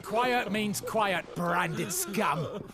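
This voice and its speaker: An actor playing a brutal, contemptuous guard villain in a video game, cruel and menacing. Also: male voice, sits high, very slow. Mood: cruel contempt. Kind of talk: video game cutscene acting. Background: loud music.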